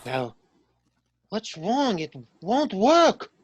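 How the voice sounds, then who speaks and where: A man's voice: high voice, huge pitch swings, very slow, no echo, heavily acted. An actor playing an anxious, fussy, easily rattled male homeowner in a radio drama. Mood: fretful. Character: fretful, easily rattled male owner of haunted house.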